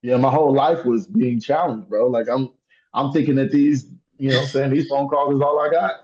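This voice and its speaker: Mellow-voiced man ranting on a podcast. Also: mid-range voice, steady tone, brisk, muffled recording. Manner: heated, emphatic, laughs while ranting. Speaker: podcast co-host. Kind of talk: casual podcast discussion.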